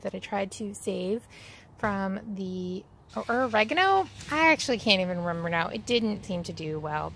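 Gravelly-voiced woman on a garden vlog. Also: medium pitch, slight background noise. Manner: relaxed, rambling, many ums. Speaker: vlog host. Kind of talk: casual garden tour vlog. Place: outdoors in a backyard garden. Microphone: phone at arm's length.

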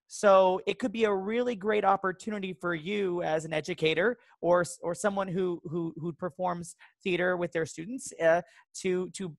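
A man's voice: sits high, a bit bright, no room sound. A man, a theater teacher, explaining informally and earnestly to fellow educators on a video call. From Texas resident.

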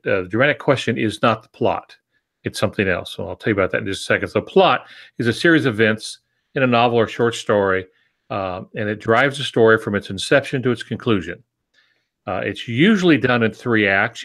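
A man in his sixties with a low voice speaks as an informal teacher. He explains step by step in an easygoing way, often adding asides and disclaimers. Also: fairly bright tone. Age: 60s. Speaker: co-host and writing teacher. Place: small home office, on a video call.

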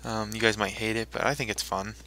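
Bright-voiced man doing let's play commentary. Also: lowish voice, level tone, quick pace, slight background noise, low-quality recording. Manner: rambling, talks to himself while playing. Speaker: gaming video host.

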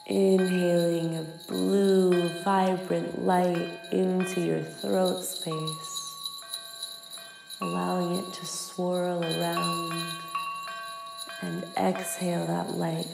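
A woman guides a meditation very slowly, in an even, lowish voice with a crackle of fry. She is soft and soothing, leaving long pauses. Her words hang in a long echo over singing bowls and music. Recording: long echoing reverb. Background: singing bowls and ambient music.

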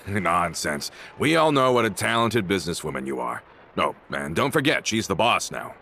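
A man's voice: low-pitched, sing-song, vocal fry, bright, forceful tone, a little hiss or hum, full character voice. An actor playing a courteous, loyal henchman in a video game, polite and formal, with music behind him. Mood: amiable. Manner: polite, formal, measured wording. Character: courteous, loyal adult male henchman to a lady boss. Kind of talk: video game character acting.

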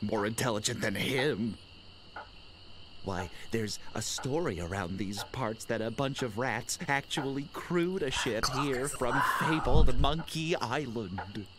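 An actor playing an earnest, defensive, slightly dim small-time pirate in a video game. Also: male voice, mid-range voice, animated, slow, noisy background.

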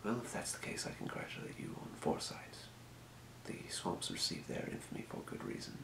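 A man playing a calm fantasy potion shopkeeper in a breathy, measured, courteous whisper for an ASMR role play. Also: roomy sound, noisy background, natural voice. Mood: calm, helpful. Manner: measured, murmuring, courteous merchant patter. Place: small indoor room.

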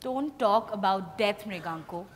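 An Indian-accented woman playing a sharp, suspicious young businesswoman in a dramatized stage reading. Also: medium pitch, expressive, a little slow, lightly acted. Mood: challenging. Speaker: actor. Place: large hotel ballroom stage, indoors. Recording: echoey hall stage recording.

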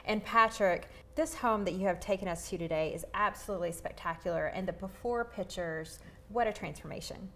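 A woman's voice: mid-range voice, a little fast, few pauses, a bit bright. A middle-aged woman with a Southern US accent, hosting a home segment in a polished, upbeat presenter manner. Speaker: TV show host. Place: large home kitchen, indoors.

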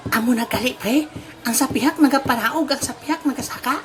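A woman's voice: sits high, fairly quick, close to an everyday voice. A woman with a Western Visayan Philippine accent playing a naive, clumsy country bumpkin in a comic audio drama. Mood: naive, curious. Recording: noisy amateur audio drama recording.

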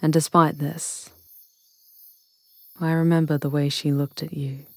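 A woman voicing an ancient, wry and wise witch in a fantasy ASMR roleplay, with measured, intimate, theatrical delivery. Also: low-pitched, little pitch movement, dry recording. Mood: gentle warning.

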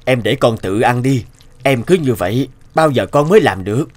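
Man acting a husky, concerned cartoon father. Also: mid-range voice, lively intonation, moderate pace, no room sound, natural voice. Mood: mild. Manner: mild, concerned. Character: worried, gentle father of a young prodigy. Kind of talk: Vietnamese cartoon dubbing.

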